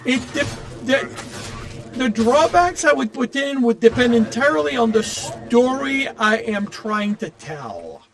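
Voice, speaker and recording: Very high-pitched man, halting and rambling. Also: very animated, gravelly. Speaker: game streamer. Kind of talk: casual chat while playing a game. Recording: game music faintly behind the voice. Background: faint video game music.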